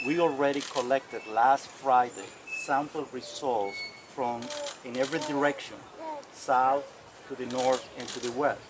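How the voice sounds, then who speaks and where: A soft-voiced man who struggles to project, giving a measured, formal technical explanation as a county official at a public meeting. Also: medium pitch. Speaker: county environmental official answering questions. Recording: noisy public meeting, loud ambient noise.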